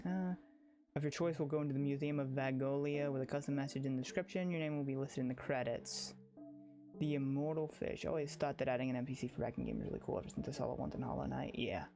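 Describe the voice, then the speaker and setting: Casting: informal livestream gamer, mid-pitched voice, excitable and rambling, music playing underneath. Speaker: game streamer. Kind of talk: casual livestream chat.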